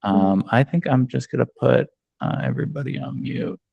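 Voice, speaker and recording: A man's voice: medium pitch, normal speed, creaky, no echo, highs cut off. A man from New York, a real estate agent, giving a conversational online training over a video call with many ums and restarts.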